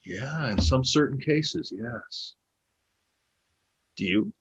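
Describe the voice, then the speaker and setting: A cynical, needling former agent acted by a man with a husky voice that swings widely in pitch. He talks in naturalistic play dialogue, halting and pointed, dryly provocative. The recording is a little dull. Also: big pitch swings, full character voice. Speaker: actor in a two-man play.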